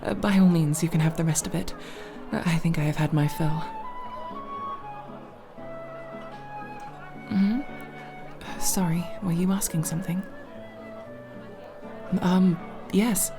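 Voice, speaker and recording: Casting: actress as young female elf thief for audio roleplay. Slightly low register, formal and proper diction, anxious yet proud, music underneath. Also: fairly even intonation, unhurried. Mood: polite, flustered. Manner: formal, wordy, prim diction with hesitations. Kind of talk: ASMR roleplay acting.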